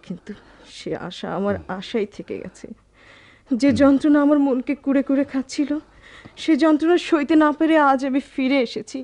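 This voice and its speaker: A woman's voice: sits high, normal speed, darkish tone, close to an everyday voice. An actress playing a remorseful woman pleading with her beloved in a radio drama, in an impassioned, melodramatic manner. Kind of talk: Bengali radio drama acting.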